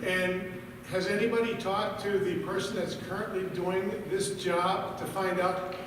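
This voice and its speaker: High-voiced man pressing a board, echoey hall. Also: little pitch movement, a little slow. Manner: argumentative, pressing questions. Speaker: resident questioning the board. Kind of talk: public comment. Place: public school board meeting hall. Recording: echoey hall from far off, noisy.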